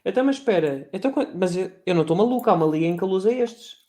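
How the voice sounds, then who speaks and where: A man's voice: sits high, animated, rapid-fire, mellow. A young man with a European Portuguese accent, a quiz contestant who chats, stumbles and restarts while trying to recall names. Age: young adult. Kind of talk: casual quiz chat among friends.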